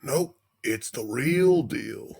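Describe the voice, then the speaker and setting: A man's voice: mid-range voice, expressive, extremely slow, creaky, a little mellow, husky, exaggerated voice. A man playing a folksy older animatronic beaver, a cheerily macabre father putting on a hammy stage show in an audio drama. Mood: fondly confessional.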